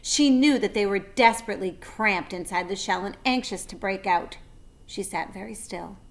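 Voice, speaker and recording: A woman narrating a children's story with deliberate, expressive phrasing. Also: mid-range voice. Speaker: read-aloud narrator.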